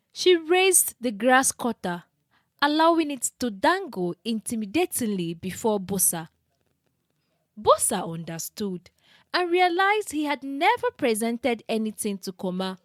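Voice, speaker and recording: Female storyteller for folktales: highish voice, very animated intonation, measured delivery. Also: dry recording, clean studio sound. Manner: expressive, deliberate storytelling delivery. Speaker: storyteller, narrator. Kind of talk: scripted folktale narration.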